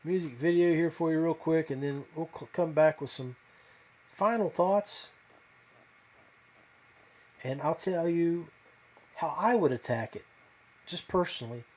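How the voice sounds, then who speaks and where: A man hosting a podcast, talking casually and off the cuff to viewers, with hesitant pauses and a modest, self-deprecating manner. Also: a little high, darkish tone. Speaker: podcast host. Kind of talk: casual fishing talk to viewers.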